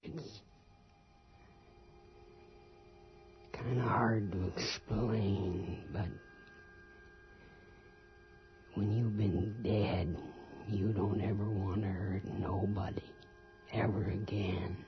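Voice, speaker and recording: A slow, guarded, breathy revenant countryman. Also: on the low side, fairly even intonation. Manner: terse, halting, folksy rustic speech. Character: rural father back from the grave, guarded and plain-spoken. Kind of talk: 1980s radio horror drama acting. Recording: dull lo-fi radio recording with music.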